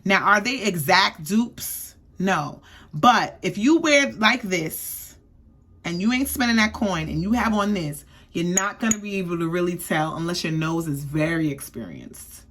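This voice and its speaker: A woman's voice: fairly low pitch, expressive, a little slow, a bit bright. Sassy chatty woman reviewing perfume. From US East Coast. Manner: sassy, chatty, lots of "okay?". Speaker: beauty vlogger.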